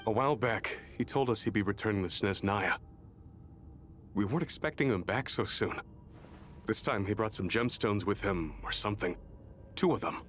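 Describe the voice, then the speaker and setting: A man's voice: on the low side, normal speed, pauses often, a bit bright, close to an everyday voice. An actor playing a rattled, bitter enemy soldier in a video game, grudgingly telling what he knows. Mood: uneasy, confiding. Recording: music behind the voice. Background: game music.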